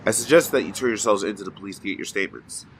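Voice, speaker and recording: A man's voice: on the low side, rapid-fire, background noise, natural voice. A young man playing a weary, wry student superhero in an audio drama, casual and dryly sarcastic. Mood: wry, brisk.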